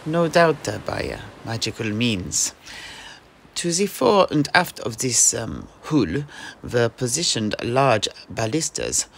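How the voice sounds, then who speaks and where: Man playing a gravelly mercenary storyteller. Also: medium pitch, sing-song, slow, a bit bright, slight background noise, full character voice. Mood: dramatic storytelling. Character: mercenary knight retelling his grandfather's sea adventure. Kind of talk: in-character fantasy audio play narration.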